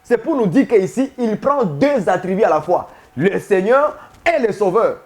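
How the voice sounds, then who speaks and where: Male preacher with a high voice; insistent, repetitive teaching style, asks questions. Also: big pitch swings, moderate pace, darkish tone. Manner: emphatic, repetitive, questions the listeners. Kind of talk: sermon teaching to viewers.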